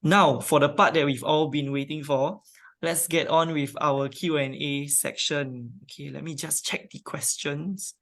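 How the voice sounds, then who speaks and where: A man hosts a finance webinar, his voice pitched a little high for a man. He moderates in a friendly way and fills his pauses with "um" and "uh." He is heard over a video call. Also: steady tone, fairly bright tone. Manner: friendly, filler-heavy moderating. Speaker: webinar host. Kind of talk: hosting a webinar.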